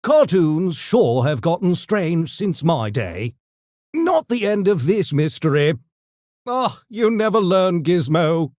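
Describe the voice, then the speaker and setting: Pompous, wildly swooping genius gremlin. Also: male voice, sits high, big pitch swings, slow pace, a little mellow, dry recording, clean studio sound, heavily acted. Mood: playfully pompous. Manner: pompous, theatrical, mock-genteel diction with manic outbursts. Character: smug, erudite genius gremlin, gleefully mischievous and haughty. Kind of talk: video game character voice lines.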